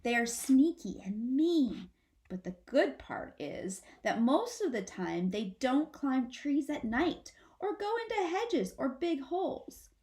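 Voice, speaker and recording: A woman voicing a protective mother possum, gravely and emphatically explaining dangers to her babies in a storybook reading. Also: high-pitched, very animated, slow pace, warm tone, voice pushed up, heavily acted. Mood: emphatic warning.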